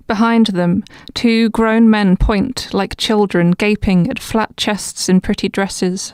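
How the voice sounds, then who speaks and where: A woman, an articulate, measured guest poet speaking on a poetry podcast. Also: mid-range voice, a little mellow, clear, resonant tone, no room sound, studio quality. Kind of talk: podcast discussion and spoken-word poetry reading.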